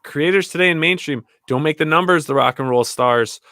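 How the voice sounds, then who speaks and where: A man's voice: fairly high pitch, brisk, sharp tone, dry recording, studio quality. A man talking bluntly and casually in an opinionated panel chat, repeating his key phrases for emphasis and swearing now and then.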